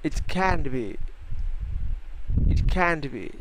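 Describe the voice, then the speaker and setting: Young male host, slightly high voice, rambling and playful, noisy home mic. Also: expressive, very slow. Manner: chatty, thinks aloud, repeats names, playful. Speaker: channel host. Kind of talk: casual screen-recorded search commentary.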